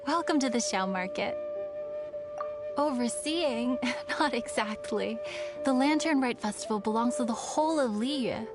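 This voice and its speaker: A woman's voice: on the high side, lively intonation, measured pace. A woman voicing a polite, modest and helpful festival organiser in a video game. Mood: welcoming, modest. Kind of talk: video game character dialogue. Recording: music behind the voice.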